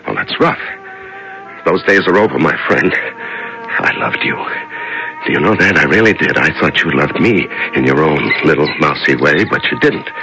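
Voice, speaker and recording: An actor playing a weak, exhausted prisoner in solitary confinement, murmuring to a mouse in a 1970s radio drama. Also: male voice, rumbling low, very animated, normal speed, gravelly, exaggerated voice, rough recording. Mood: bitter. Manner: murmuring to a mouse, fading and breaking. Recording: old radio drama with music.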